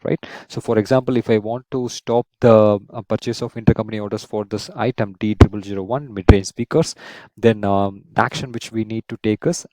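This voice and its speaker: A man in his thirties hosts a software walkthrough in a fairly low, dark, relaxed voice. He explains each step methodically and tags points with little check-in questions. The sound is dry, with very little room on it. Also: dry recording. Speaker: software instructor and host of a tutorial series. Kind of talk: screen-share software tutorial, semi-scripted explanation.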